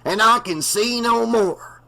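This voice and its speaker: A man voicing a drunk, bloodied old reverend who slowly proclaims doom. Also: very high voice, gravelly, bright, forceful tone, pitched above the performer's own voice, exaggerated voice, rough recording. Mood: doom-laden. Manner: slow, prophetic proclamations.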